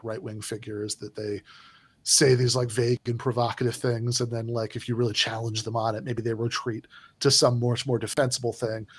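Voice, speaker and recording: A man's voice: mid-range voice, no echo. A man in casual political discussion, talking in a rambling way with many fillers and restarts.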